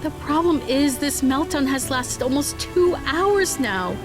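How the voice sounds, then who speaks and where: Woman playing a high-voiced, worried mother. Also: expressive, unhurried. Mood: sombre, explaining. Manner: earnest, anxious. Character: worried mother of a teenage girl. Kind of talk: audio drama acting. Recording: music behind the voice.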